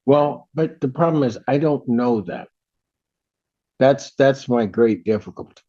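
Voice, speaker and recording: An American man with a husky voice, speaking as a judge from the bench in court. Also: medium pitch, unhurried, dark tone. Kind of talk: remarks from the bench. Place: indoor courtroom. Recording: muffled courtroom audio.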